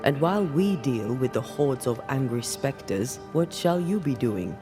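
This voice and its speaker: An actor playing a steady, sceptical ghost hunter in a video game, terse and direct, with a gravelly voice. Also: male voice, mid-range voice, a little slow, close to an everyday voice. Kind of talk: video game cutscene acting. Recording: prominent music underneath.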